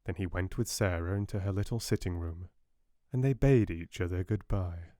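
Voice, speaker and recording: A soft-spoken man with a British accent, reading a storybook as an audiobook narrator. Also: sits low, normal speed, no echo, studio quality.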